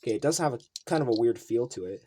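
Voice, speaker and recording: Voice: medium pitch, animated, rapid-fire. A video host giving casual unboxing commentary, rambling and thinking aloud while showing collectibles. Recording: noisy room with clicking handling sounds.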